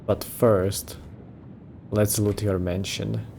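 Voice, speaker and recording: A man's voice: lowish voice, level tone, slow, gravelly. A man doing casual Let's Play commentary, giving short offhand reactions as if half talking to himself. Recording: game music behind the voice.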